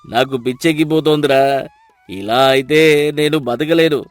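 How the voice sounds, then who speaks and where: A man's voice: on the high side, normal speed, no echo, close to an everyday voice. A man voicing a rich, proud, irritable older man who complains and exclaims about his misery in a cartoon moral story. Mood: exasperated. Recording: music behind the voice. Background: music.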